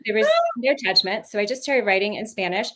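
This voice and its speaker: A woman's voice: medium pitch, a little fast, sharp tone. A woman author and former teacher in casual livestream chat, rambling with lots of ums and laughing mid-sentence, on a video call.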